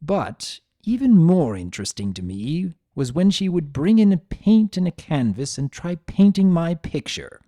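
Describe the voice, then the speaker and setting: A man voicing a grumpy, possessive, secretly tender chaos creature who narrates his own story in a sardonic, expressive dramatic reading. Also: fairly high pitch, measured pace, few pauses, no room sound, studio quality, voice pushed up. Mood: wry, reflective. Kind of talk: first-person dramatic reading of fan fiction.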